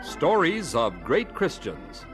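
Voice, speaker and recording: A man acting as the measured narrator and announcer of a scripted radio drama, asking questions to lead the story along. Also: mid-range voice, huge pitch swings, loud music behind the voice, full character voice.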